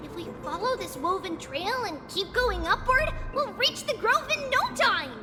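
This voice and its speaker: A woman's voice: extremely high pitch, animated, moderate pace, in a large hall, over music. A woman voicing a cute, innocent little girl in an anime-style game, cheerful and earnest, with simple childlike speech. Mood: excited.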